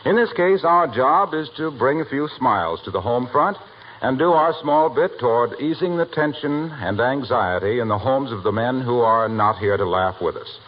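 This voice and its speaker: A man's voice: medium pitch, animated, moderate pace. An American man announcing a scripted radio program in a formal 1940s style. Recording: dull, noisy old 1940s radio recording.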